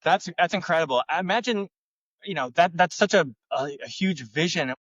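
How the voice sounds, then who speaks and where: A man hosting a podcast, asking enthusiastic, halting questions with restarts. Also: on the high side, animated, fairly bright tone, no echo, muffled recording. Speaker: podcast host. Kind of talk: podcast interview.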